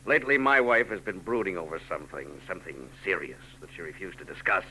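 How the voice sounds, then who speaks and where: An actor playing a grim, brusque husband in a 1940s radio drama, curt and tense. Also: male voice, deep voice, quick pace, pushed and bright, rough recording. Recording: old radio broadcast with hiss.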